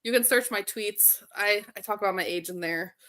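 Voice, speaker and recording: A woman co-hosting a sports podcast livestream, sarcastic and quick to push back in casual banter. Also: medium pitch, bright, forceful tone. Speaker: podcast co-host.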